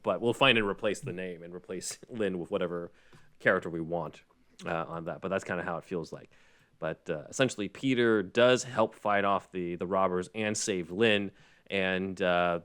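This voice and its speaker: Low-voiced man hosting a podcast. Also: a bit bright. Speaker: podcast host. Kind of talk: casual podcast discussion of a script.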